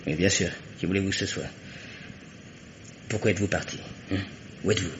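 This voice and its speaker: A man's voice: deep voice, fairly even intonation, a little fast, muffled recording, background noise, close to an everyday voice. An actor playing a stubborn, agitated man under suspicion, defiant and urgent, in a radio mystery play. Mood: anxious, questioning.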